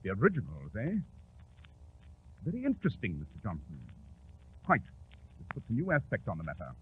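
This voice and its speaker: A man playing a brisk, confident detective in a 1940s radio drama, speaking clipped, formal, theatrical English. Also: on the low side, wildly swooping pitch. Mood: musing, assured. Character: brisk, confident male detective, incisive and commanding. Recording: noisy, tinny 1940s radio recording.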